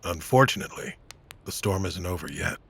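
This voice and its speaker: A man playing a courteous, reassuring veteran knight in an audio drama, with a gravelly voice. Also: low voice, lively intonation, a little fast, a bit bright, full character voice. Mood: calm, wry.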